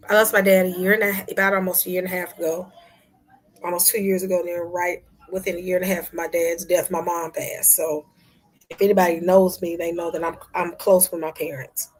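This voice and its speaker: A woman hosting a casual livestream chat, talking to her viewers with soft whispery asides and sudden loud bursts. Her voice is husky, as if she is losing it. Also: on the low side, low-quality recording. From Memphis, United States. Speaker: livestream host.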